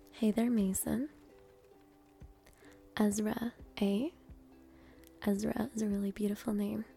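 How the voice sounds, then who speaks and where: A woman hosting ASMR, speaking softly and gently, often in a breathy whisper, deliberately repeating words as she reads out and greets. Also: medium pitch. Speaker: ASMR host. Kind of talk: ASMR, reading out names and greeting each.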